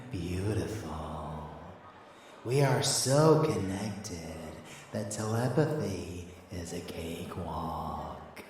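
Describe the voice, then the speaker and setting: Male actor as rebellious vampire boyfriend, deep hushed voice, doting, scheming, ASMR audio drama, heavy echo over soft music. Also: animated, far from the mic, a little hiss or hum, full character voice. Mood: conspiratorial, affectionate. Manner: slow, hushed, murmured endearments.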